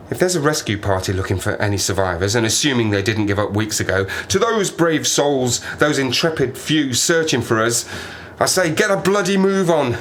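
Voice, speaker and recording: A man's voice: mid-range voice, fairly bright tone, close to an everyday voice. Man acting a haunted, swooping-voiced Arctic survivor. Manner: wry, halting, rambling, increasingly agitated. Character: stranded Arctic survivor, haunted, sardonic man unravelling with fear. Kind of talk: dramatic audio play monologue. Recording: noisy ambience behind the voice.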